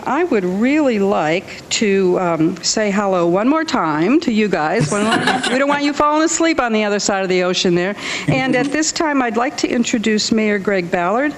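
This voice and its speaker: A woman hosting a public event, giving a welcome speech and introducing speakers. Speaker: library host introducing speakers at a launch event. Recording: echoey hall, rumbling room noise.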